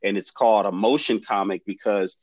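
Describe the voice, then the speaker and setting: A man's voice: on the low side, fairly quick, darkish tone, no echo, lo-fi sound. A man in his forties from Detroit, a guest on a remote interview, explaining his work in a rambling way full of ums and restarts. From Detroit, USA. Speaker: guest, comic creator. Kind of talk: casual interview chat. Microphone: headphones, remote call.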